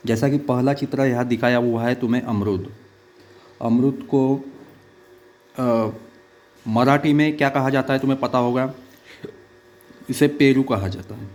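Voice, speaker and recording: Male teacher, halting delivery. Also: medium pitch, a little mellow. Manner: halting, with many "uh" fillers. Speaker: schoolteacher. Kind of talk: online class lesson.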